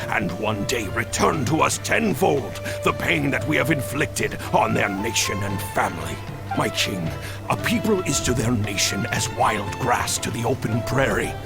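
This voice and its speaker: An actor playing a wise old general and royal counselor in a video game's stage play, grave, eloquent and declamatory. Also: male voice, medium pitch, creaky. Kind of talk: theatrical stage-play acting in a video game. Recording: music behind the voice.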